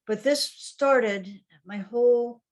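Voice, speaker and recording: Older woman telling stories, soft laughs. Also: a little high, slow. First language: English. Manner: reflective, anecdotal, with soft laughs and ums. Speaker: guest author. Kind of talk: conversational interview storytelling.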